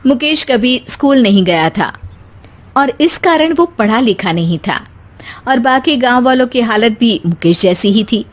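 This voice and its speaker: A woman's voice: a little high, lively intonation, a little slow. A woman narrating a scripted moral bedtime story.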